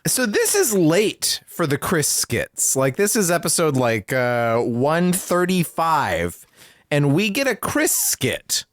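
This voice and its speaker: A man's voice: on the high side, big pitch swings, takes it slowly, no echo, clean studio sound. A man co-hosting a podcast with casual comedic banter, riffing playfully and emphatically. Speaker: podcast co-host.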